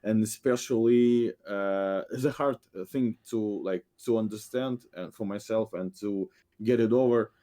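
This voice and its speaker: Mellow low-voiced man. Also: a little slow, hardly any pauses. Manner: hesitant, many fillers and restarts. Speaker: game director. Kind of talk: podcast guest update, halting.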